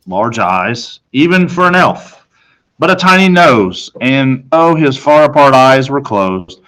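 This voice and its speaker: A man narrating a children's novel as a reader, in a measured, suspenseful storytelling manner. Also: mid-range voice, fairly bright tone, rough recording.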